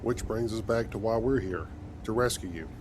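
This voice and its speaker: A man playing an earnest, altruistic starship captain in scripted game dialogue, with a measured delivery. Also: lowish voice, background noise, lightly acted. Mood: dry, relieved.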